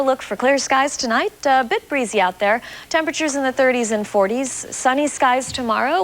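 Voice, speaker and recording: A woman with a fairly high voice presents the weather on television. She explains the forecast in an informal, conversational way, as a studio anchor would. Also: a bit bright.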